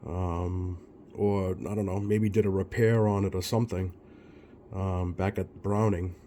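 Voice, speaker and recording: A man's voice: low voice, little pitch movement, a little slow, warm tone, slight background noise. A man giving casual, off-the-cuff narration as a repair technician, thinking aloud in a hesitant way. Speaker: radio repair technician hosting a bench video.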